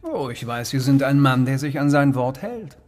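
An actor playing a cold, cultured criminal mastermind in a radio drama, speaking with pompous, deliberate precision and calm menace. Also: male voice, mid-range voice, big-room sound, natural voice. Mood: coolly threatening.

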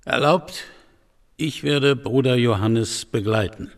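Slow, gravelly old monk healer. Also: male voice, mid-range voice. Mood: gentle, humble. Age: older. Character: elderly monk healer, pious, kindly mentor. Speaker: actor. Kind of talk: radio drama acting.

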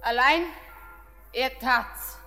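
An actress playing a heartbroken, deranged young bride in a literary radio play, speaking in a lyrical, trance-like manner. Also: female voice, high-pitched, very slow, bright, forceful tone, faint music behind, heavily acted. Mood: entranced, chilled.

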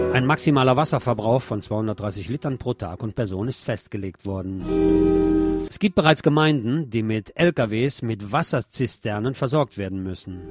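A man reading scripted radio news and commentary in a wry, editorializing way. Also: medium pitch. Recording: music bed under the voice.

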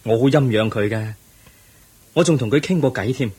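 An actor playing an earnest young crown prince in a Cantonese radio drama, speaking in a solemn, formal and measured manner. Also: male voice, medium pitch, darkish tone, husky. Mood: yearning, resolute.

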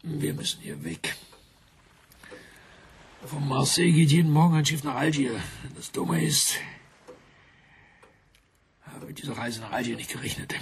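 An actor playing an embarrassed, broke major who speaks in stiff, clipped, apologetic sentences while begging a favour. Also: male voice, on the high side, gravelly, heavily acted.

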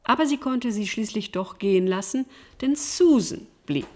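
An actress playing a husky-voiced lady narrating a classic family novel in an audio drama. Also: mid-range voice, animated, normal speed, a little mellow, natural voice. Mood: unhurried storytelling.

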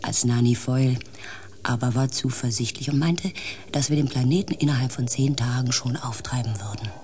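An actress playing a thoughtful spaceship team coordinator who narrates a radio drama in a reflective manner. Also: female voice, a bass voice, normal speed, dark tone.

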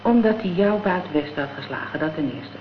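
A woman's voice: fairly low pitch, expressive, fairly quick, natural voice. An actress playing a gangster's tough, vengeful girlfriend in a radio drama, talking in blunt, scornful slang. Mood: matter-of-fact. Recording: dull, noisy old radio play recording.

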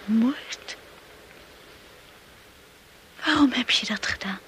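A woman acting a devoted, anxious young wife in a Dutch radio play, breathy, tender and imploring. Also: on the high side, takes it slowly, a bit bright, whispered. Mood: shocked, anxious. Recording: noisy old radio play recording.

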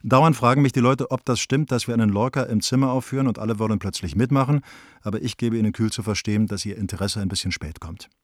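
A man's voice: fairly low pitch, quick pace, no echo, studio quality, natural voice. An actor playing an opinionated, affectionate young bohemian intellectual, wry and emphatic as he reads out his letter in a radio play. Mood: brisk, chatty. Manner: wry, emphatic, self-important.

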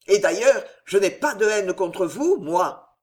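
A reader voicing a frenzied, desperate young nobleman who speaks loudly and vehemently in an old-fashioned storybook. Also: mid-range voice, animated, moderate pace, natural voice. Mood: frantic. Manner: loud, vehement, near shouting.

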